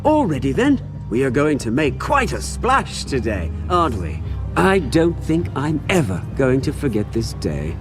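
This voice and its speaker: An actor playing a smug, taunting, theatrical villain, gloating with sly mock gentility in a video game. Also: male voice, medium pitch, huge pitch swings, a little slow, music in the background. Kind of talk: dramatic video game acting.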